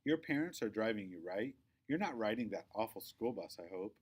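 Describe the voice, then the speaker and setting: A snobbish young girl from a children's storybook, acted out by a man. The delivery is bossy and condescending, with emphatic stresses and very lively swings in pitch. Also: a little fast, slightly rough. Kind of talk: children's storybook read-aloud.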